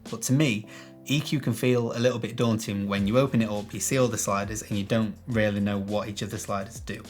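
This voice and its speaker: A man with a low, bassy voice walks viewers through an editing trick in a chatty, self-deprecating tutorial. He is recorded on a shotgun microphone in a small room with some echo. Faint music plays softly underneath. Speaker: video tutorial host. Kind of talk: casual tutorial talk to camera.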